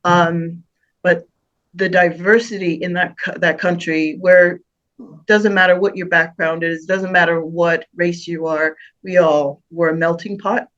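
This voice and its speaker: A woman's voice: fairly low pitch, little pitch movement, a little slow, highs cut off. An older woman from the Bahamas with a Bahamian accent tinged with American, telling stories as a guest in a casual interview, with frequent laughs and restarts. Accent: Bahamian with American touches. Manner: warm storytelling, laughs often, many restarts. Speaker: guest singer.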